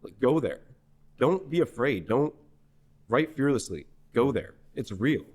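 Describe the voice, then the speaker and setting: A young man from New Hampshire, a singer-songwriter guest in a podcast interview, telling a story in a rambling, animated way full of restarts. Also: medium pitch, a little slow. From New Hampshire, USA. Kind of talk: casual conversational interview.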